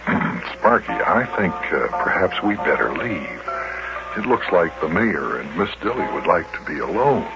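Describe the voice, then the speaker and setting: A kindly, gallant man in an old children's radio serial. His voice is low with lively swings, polite and courteous. The recording sounds dull. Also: normal speed, loud music behind the voice. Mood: discreet. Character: Big Jon, kindly courteous grown-up man.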